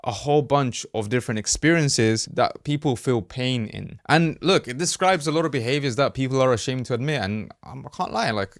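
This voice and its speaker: A Spanish-native man from Colombia hosts a casual explainer, talking straight to the viewer in an easy conversational way. He drops into quick mock-voiced asides now and then. His voice sits at a middle pitch and the sound is dry and close, with no room echo. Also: lively intonation. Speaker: host, ad creative marketer. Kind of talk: casual explainer talk to camera.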